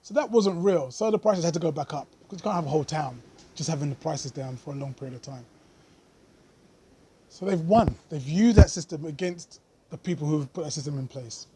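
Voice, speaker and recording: Brief: male vlogger, slightly high and husky voice, earnest unscripted commentary. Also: warm tone. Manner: earnest, thoughtful, with frequent pauses and fillers.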